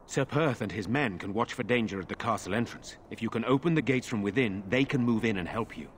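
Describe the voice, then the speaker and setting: An actor playing a dutiful, resolute nobleman in a video game, earnest, formal and measured. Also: lowish voice, a little hiss or hum, close to an everyday voice. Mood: grave, urgent. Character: resolute, dutiful nobleman, self-sacrificing brother. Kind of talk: dramatic video game dialogue.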